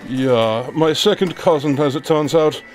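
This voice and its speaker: A man acting an aloof, pedantic gentleman guest in a radio drama, speaking in a precise, formal, wordy way. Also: on the high side, measured pace, faint music behind, lightly acted. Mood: dry, precise.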